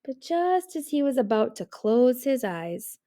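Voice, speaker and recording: A woman narrating a children's storybook in an expressive read-aloud style. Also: fairly high pitch, unhurried. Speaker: storybook reader.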